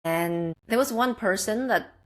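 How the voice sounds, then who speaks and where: A woman's voice: mid-range voice, unhurried. A young adult woman from the Czech Republic, a guest telling a personal story in an earnest, reflective, conversational way.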